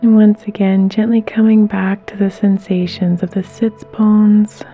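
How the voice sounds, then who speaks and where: Slow, mellow woman guiding meditation. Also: mid-range voice, little pitch movement, faint music behind. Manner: gentle, soothing, unhurried pauses. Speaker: guided meditation instructor. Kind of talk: guided meditation.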